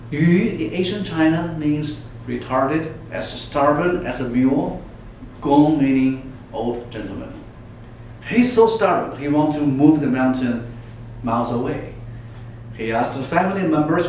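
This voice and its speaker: A man's voice: a little high, unhurried, a little mellow. A man telling a story as a dramatic, emphatic contest speech, putting rhetorical questions to his listeners. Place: large echoey room, speaking to an audience. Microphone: distant microphone. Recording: echoey room from far off, faint noise.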